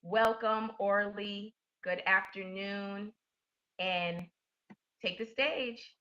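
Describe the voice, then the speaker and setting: A woman reading a formal, scripted introduction as host of an online session, with measured phrasing. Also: mid-range voice, sharp tone. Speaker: webinar host introducing a coach. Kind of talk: scripted introduction, read announcement. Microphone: online webinar audio. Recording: dull lo-fi web conference audio.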